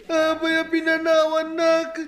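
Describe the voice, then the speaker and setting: A very high, slow-spoken schoolboy in a radio drama. He is an emotional, respectful orphan with a plaintive tone. Roomy echo surrounds the voice. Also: completely flat delivery, background noise. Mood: frantic grief.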